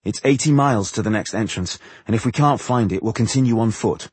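A man's voice: on the low side, fairly quick, darkish tone, breathy edge, no room sound. A man voicing a sensible, decisive male dwarf leader with a practical, firm manner in a fantasy audiobook.

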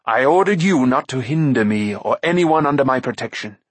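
A stern, commanding warrior prince, as a male narrator puts him on, firm and short on patience. The voice is mid-pitched and slightly husky. It is recorded close with no room sound. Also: animated, brisk, no echo. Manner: firm, severe, impatient.

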